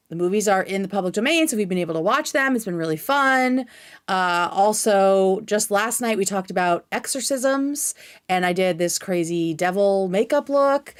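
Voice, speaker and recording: A woman chatting casually as a livestream host, with an informal, scattered manner and free swearing. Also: mid-range voice.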